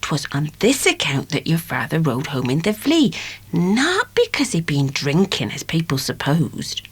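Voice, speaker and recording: A woman playing a middle-aged, proud and gossipy rustic mother in an audiobook drama, breathy, with a West Country accent. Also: low voice, huge pitch swings, measured pace, full character voice. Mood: proud, confiding. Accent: English West Country.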